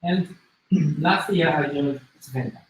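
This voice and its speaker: A man with a Japanese accent lecturing to a class in a deliberate, halting way, as a native Japanese speaker. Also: on the high side. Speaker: business school lecturer. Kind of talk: interactive demo lecture with self-introduction. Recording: very echoey room, distant mic, faint noise.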